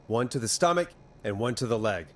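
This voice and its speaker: An actor playing a steady, reassuring but urgent radio host in a horror game drama. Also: male voice, mid-range voice, a little fast, natural voice. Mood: urgent, earnest. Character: calm, earnest male 1980s radio DJ in crisis.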